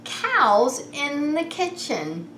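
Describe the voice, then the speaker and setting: A woman's voice: sits high, big pitch swings, drawn-out words, bright, forceful tone. A woman preschool teacher hosting story time, talking straight to young children with simple questions in a playful, singsong way. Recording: roomy echo, clear background noise.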